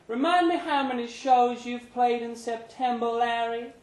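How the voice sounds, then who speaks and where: A man voicing a sharp, scolding woman who runs a bar, in a live story reading. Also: extremely high pitch, steady tone, unhurried, voice pushed up. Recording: echoey pub room, background noise.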